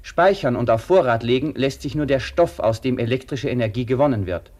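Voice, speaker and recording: A man's voice: mid-range voice, lively intonation, brisk, darkish tone, close to an everyday voice. A man delivering precise, explanatory scripted narration in a radio drama.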